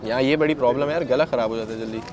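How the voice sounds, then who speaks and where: A man's voice: medium pitch, racing. A man with a sore throat, chatting to viewers as he films his own travel vlog, calling them brother as he walks and shows things. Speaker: travel vlogger. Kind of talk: handheld travel vlog narration. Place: outdoors and in a large busy mosque extension. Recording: noisy outdoor phone recording.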